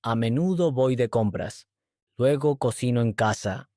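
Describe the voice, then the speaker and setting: Male host-teacher, mellow voice, even measured read, dry close sound. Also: mid-range voice, no room sound. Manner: clear, even, measured delivery. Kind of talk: slow scripted dialogue reading.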